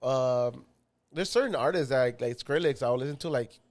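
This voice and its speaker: A man hosting a relaxed podcast conversation in an easygoing, agreeable way. He chimes in with quick agreement and laughs often, his voice sitting at an ordinary middle pitch. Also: no echo. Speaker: podcast host. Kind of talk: casual chat.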